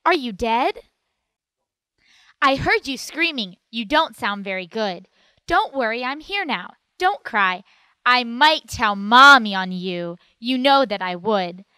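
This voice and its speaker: A woman's voice: on the high side, animated, slow, pushed and bright, clear, resonant tone, dry recording, pitched above the performer's own voice, full character voice. A woman voicing a bossy, teasing little girl in a storybook reading, sing-song and tattling.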